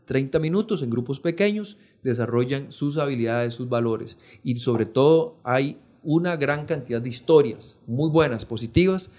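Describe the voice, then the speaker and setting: A Costa Rican man with a medium-pitched voice talks as a podcast guest. He explains in an earnest, conversational way and seeks agreement as he goes. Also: moderate pace, hardly any pauses, darkish tone. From Costa Rica. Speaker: podcast guest, foundation programme representative.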